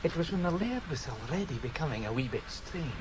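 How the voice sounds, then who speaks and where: Wry Scottish local, very animated intonation, ruminative, hesitant, radio drama, clear background noise. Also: male voice, fairly high pitch, brisk. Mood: musing, confiding. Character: Scottish local man, wry teller of curious tales. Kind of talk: British radio drama acting.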